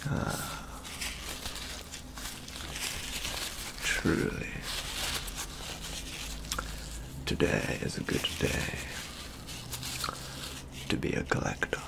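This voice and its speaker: A young man playing a pompous, doting model collector in soft-spoken ASMR roleplay, half-whispered and gravelly, with slow, formal, deliberate phrasing. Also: noisy background, lightly acted. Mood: pleased, savouring. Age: young adult. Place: small indoor studio, close up.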